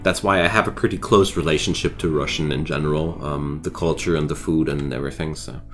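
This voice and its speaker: A man's voice: very deep voice, steady tone, brisk, fairly bright tone, faint music behind. A man who is not a native English speaker, chatting casually and rambling with viewers while streaming a game. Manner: rambling and chatty, restarts thoughts.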